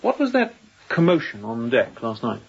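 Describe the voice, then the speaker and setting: An actor playing a young, newly appointed sea captain in a radio drama, clipped, commanding and guarded. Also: male voice, mid-range voice, huge pitch swings, moderate pace, vocal fry, husky, muffled recording, exaggerated voice. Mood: guarded, curt. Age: young adult.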